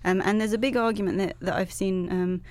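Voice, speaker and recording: A woman's voice: mid-range voice, fairly quick, no echo. Woman, radio host, chatty and curious, dry close studio sound. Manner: chatty, curious, lists words in a steady run. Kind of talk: casual radio chat.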